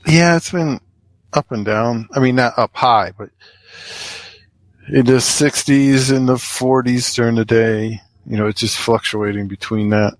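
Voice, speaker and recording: A man co-hosting a podcast in casual conversation, speaking in a laconic, low-key way. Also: unhurried, dry recording. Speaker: podcast co-host.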